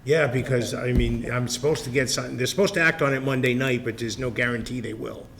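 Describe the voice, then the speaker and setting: A man's voice: medium pitch, fairly even intonation, quick pace, gravelly, fairly bright tone. A man chairing a town finance committee meeting, chatty and thinking aloud. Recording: public access meeting recording with faint noise.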